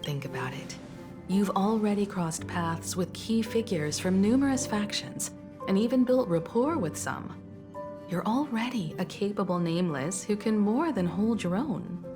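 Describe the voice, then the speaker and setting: An actress voicing a composed, mature woman mentor in a video game, calm and measured. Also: mid-range voice, natural voice. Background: game soundtrack music.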